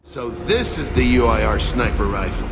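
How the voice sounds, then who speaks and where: A cocky game lieutenant with a mid-range voice that moves freely in pitch. He recounts battle in a dry, sardonic way while loud music plays behind him. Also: animated, normal speed, vocal fry. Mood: wry recounting. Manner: sardonic, understated. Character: wry, cocky male army lieutenant giving testimony. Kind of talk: video game acting, narrated testimony and battlefield dialogue.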